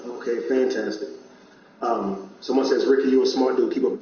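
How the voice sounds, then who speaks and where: A man's voice: mid-range voice, little pitch movement, moderate pace, a little hiss or hum. A man hosting an online talk show, speaking earnestly and conversationally to his viewers. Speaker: show host. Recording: distant echoey lo-fi mic.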